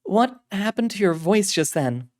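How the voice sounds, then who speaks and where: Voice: medium pitch, very animated, unhurried, pitched above the performer's own voice. A narrator voicing a wary, businesslike woman who works as a containment agent in an audio story, direct and skeptical. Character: wary, businesslike female containment agent, exasperated but dutiful. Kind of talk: audio story character dialogue.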